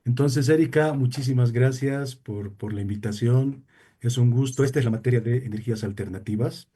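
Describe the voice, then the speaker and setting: Husky, mellow-voiced man hosting a class. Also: mid-range voice, moderate pace. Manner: hesitant, filler-heavy. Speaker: university instructor hosting. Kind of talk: class introduction.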